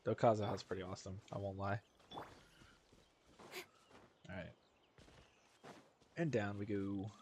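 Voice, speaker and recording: Low-voiced man chatting on a game stream. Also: fairly even intonation, unhurried, pauses often, darkish tone, a little hiss or hum. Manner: rambling, talking to viewers. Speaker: livestreamer. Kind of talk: casual gameplay stream chat.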